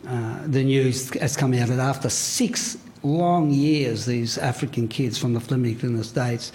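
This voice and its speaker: A man's voice: mid-range voice, measured pace, darkish tone. An older man from Australia, a panel guest at a public talk, speaking casually with many fillers and restarts. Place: public venue.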